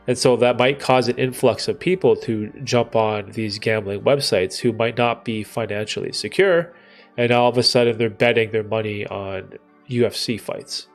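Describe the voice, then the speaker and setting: A young man from Canada hosts a relaxed live stream, his voice stuffed up from a cold. His tone is gravelly with vocal fry, and he thinks aloud in loose, rambling sentences over faint background music. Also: on the low side. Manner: rambling, thinking aloud with many fillers and restarts. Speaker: livestream host answering chat. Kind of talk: casual live Q&A chat.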